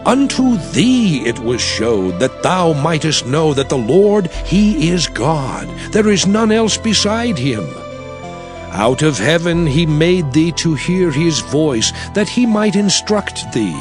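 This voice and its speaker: Grave prophet leader in a bible audio drama, really slow emphatic preaching, loud music behind. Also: male voice, on the high side, big pitch swings. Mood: fervent exhortation. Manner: grave, emphatic, measured declaiming. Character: Moses, solemn prophet and leader exhorting his people. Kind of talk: dramatized scripture oratory.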